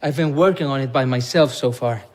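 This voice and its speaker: A man's voice: mid-range voice, brisk, a little mellow, lightly acted. An actor playing a polite, earnest, bookish young man, a traveller in a radio drama. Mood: eager.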